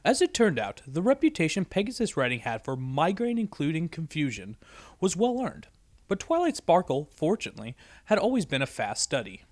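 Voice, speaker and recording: A man's voice: on the high side, huge pitch swings, fairly quick, darkish tone, no room sound. A man reading a story aloud as scripted narration. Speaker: story reader.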